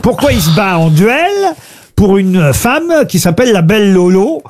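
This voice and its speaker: A man's voice: sits high, expressive, moderate pace, a little mellow. A man hosting a radio panel show, teasing guests and steering the questions. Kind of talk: lively panel banter.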